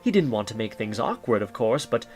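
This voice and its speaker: A man narrating a holiday audio drama as a kindly storyteller, in a measured, grandiose, theatrical way. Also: on the low side, very animated, darkish tone, soft background music. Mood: warm storytelling.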